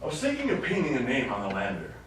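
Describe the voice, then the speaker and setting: A man's voice: mid-range voice, wildly swooping pitch, fast talker, fairly bright tone. A man on stage playing a wry, wistful astronaut who is secretly an alien with a scheme. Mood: casual, wry. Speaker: stage actor in a one-act play. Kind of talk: live stage drama monologue. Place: small indoor studio theatre stage. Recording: echoey small theatre, distant mic, faint noise.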